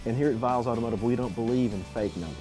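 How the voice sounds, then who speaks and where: Car dealer, fast folksy radio pitch. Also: male voice, music in the background. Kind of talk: radio ad read.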